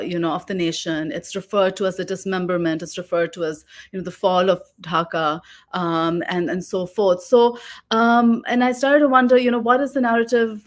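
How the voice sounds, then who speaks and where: Woman from Pakistan, guest author, reflective and unhurried, long winding sentences, mid-range voice. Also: mid-range voice. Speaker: guest author and oral historian. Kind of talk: long reflective interview answer.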